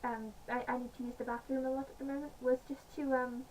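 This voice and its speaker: A woman with a slightly croaky voice, chatting casually in a home vlog with many pauses and fillers. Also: sits high, flat delivery, a little mellow. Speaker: vlogger. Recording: distant mic, faint background noise.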